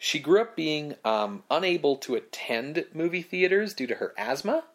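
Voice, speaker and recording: A man co-hosting a casual film history podcast, reading prepared facts in a relaxed way. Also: a little high, normal speed. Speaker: podcast co-host.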